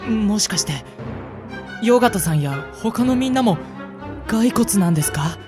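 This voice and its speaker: A man's voice: high voice, lively intonation, unhurried, music in the background. Man playing a timid young gym worker, very reverberant. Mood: nervous, hesitant. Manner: timid, startled. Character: timid young male part-time gym worker, narrator. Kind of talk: scripted first-person radio drama narration.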